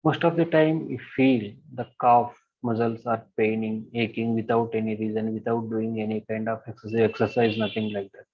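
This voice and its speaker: A man leading a guided body-scan meditation, giving gentle instructions and softly repeating affirmations. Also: fairly low pitch, steady tone, moderate pace, hardly any pauses. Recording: dull lo-fi recording.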